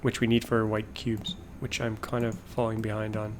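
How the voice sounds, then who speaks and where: A man's voice: lowish voice, monotone, normal speed, background noise. A man thinking aloud as he plays a factory-building game, casually musing over his production lines, says he is losing his voice. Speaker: gameplay video host. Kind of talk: casual let's-play commentary.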